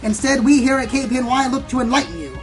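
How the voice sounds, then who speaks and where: A woman's voice: high voice, fairly even intonation, fast talker. A woman playing a sensationalist pony news anchor in a radio play, theatrical and insistent. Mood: ominous, grandiose. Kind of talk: melodramatic newscast in an amateur radio play. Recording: music under the voice.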